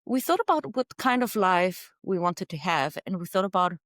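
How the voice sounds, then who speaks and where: A woman in her thirties speaks with a slightly low voice, unhurried and reflective. She answers as an interview guest and is recorded close and dry, with no room sound. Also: very animated, no room sound. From Argentina. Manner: measured and thoughtful, with some fillers and light laughs. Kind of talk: casual interview storytelling.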